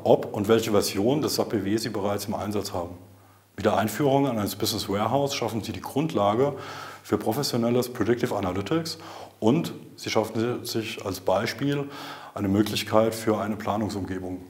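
A man in his thirties with a fairly low voice delivers a scripted corporate explainer. He speaks formally and in a measured way, like a consultant addressing clients on camera. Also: darkish tone. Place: indoor video studio. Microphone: lapel mic.

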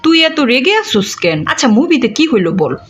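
Woman as a lively, timid but cheeky cartoon girl. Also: high-pitched, moderate pace, lo-fi sound, faint music behind. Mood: teasing. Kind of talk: Bangla comedy cartoon dubbing.

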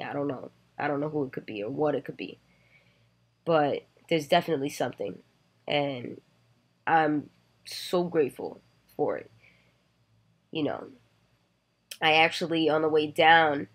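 A woman in her thirties telling a personal story to her webcam from a bedroom, earnest and reflective, with many "you know" fillers. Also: deep voice. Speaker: vlogger. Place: bedroom, indoors. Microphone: webcam at desk distance.

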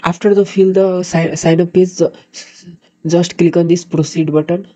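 A man with a Nepali accent and a very dark, soft-edged tone hosts a tutorial in an even, flat melody. He speaks haltingly and repeats words, and the microphone sound is dull and lo-fi. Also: high-pitched. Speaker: tutorial host. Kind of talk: screen-recorded tutorial narration.

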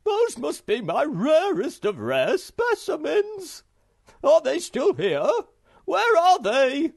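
A shrill, screeching Hemulan, rude and cross. His voice jumps very high and stretches slow with outrage. The storybook reading sounds close and dry. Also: wildly swooping pitch, vocal fry, dry recording, exaggerated voice. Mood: outraged.

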